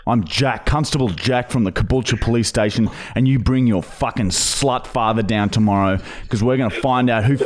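A man's voice: mid-range voice, moderate pace, few pauses, close to an everyday voice. An Australian man playing an aggressive, foul-mouthed police constable who bullies a suspect in a menacing, insistent way. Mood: angry, abusive. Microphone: close studio boom mic with headphones.

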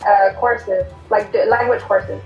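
A woman's voice: medium pitch, steady tone, a little slow, a little mellow, soft background music. Young French woman on a dull, roomy call. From France. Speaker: guest vlogger. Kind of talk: casual video call chat. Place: home room. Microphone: laptop webcam mic on a video call. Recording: dull echoey video call audio.

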